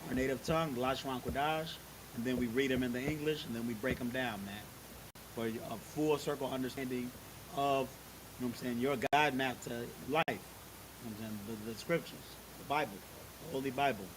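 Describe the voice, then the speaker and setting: A man's voice: medium pitch, a little fast. A man casually introducing a religious service, talking in a loose, repetitive way with many filler phrases. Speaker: congregation member hosting a religious service. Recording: steady background hiss.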